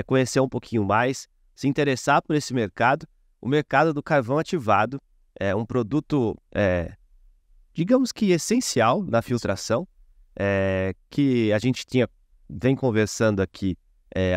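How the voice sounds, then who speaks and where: A man's voice: medium pitch, no room sound, studio quality. A man hosting an interview, speaking in a hesitant way with many fillers. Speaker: podcast host.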